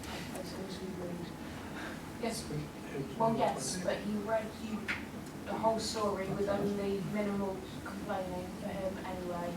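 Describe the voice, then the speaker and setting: A woman performs a friendly young castle maiden pony, gushing and easily flustered. The sound is distant and echoey, with loud noise around it. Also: mid-range voice, level tone, normal speed, natural voice. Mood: wistful.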